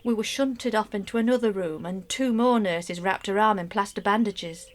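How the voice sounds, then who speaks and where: An actress playing a wistful middle-aged mother in a radio play monologue, confiding and measured, often dropping almost to a whisper. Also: mid-range voice, close to an everyday voice. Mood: subdued, recounting. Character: middle-aged wife and mother, wistful, secretly grieving a love affair. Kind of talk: intimate dramatic monologue, scripted radio play narration.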